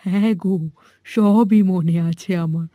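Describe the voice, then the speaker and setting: A woman's voice: medium pitch, takes it slowly, warm tone, dry recording, clean studio sound, faint music behind, close to an everyday voice. A woman playing a caring, worried village mother with a plaintive, melodramatic cartoon delivery. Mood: tender, wistful. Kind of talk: dramatic cartoon dubbing.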